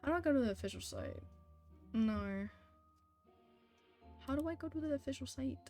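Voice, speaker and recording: High-voiced woman livestreaming a game. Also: lively intonation, normal speed, darkish tone. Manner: muttering to herself, blunt short questions and reactions. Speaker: game streamer. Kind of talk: casual livestream chat over gameplay. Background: game music.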